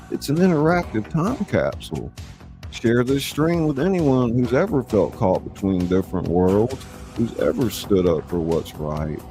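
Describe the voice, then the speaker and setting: A man hosting a live show, announcing from a script with theatrical, emphatic showman delivery. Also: deep voice. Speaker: show host and narrator.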